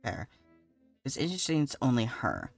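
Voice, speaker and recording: A woman's voice: extremely low pitch, huge pitch swings, moderate pace, gravelly, no room sound, faint music behind. Casual rambling woman streamer, close dry sound. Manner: rambling, slangy, giggly. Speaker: game streamer. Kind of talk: casual live reaction commentary to chat.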